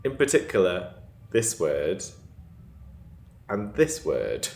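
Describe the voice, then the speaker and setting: Cheshire-accented male vlogger, deep and unhurried, chatty, in a roomy space. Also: huge pitch swings, a little mellow. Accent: northern Cheshire English. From England. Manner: chatty, laughs often, crisp T sounds. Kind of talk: casual vlog chat to camera.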